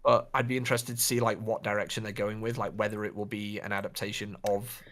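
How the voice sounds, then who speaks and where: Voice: mid-range voice, level tone, fast talker, fairly bright tone. A podcast co-host chatting casually in a playful, rambling way. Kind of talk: casual chat.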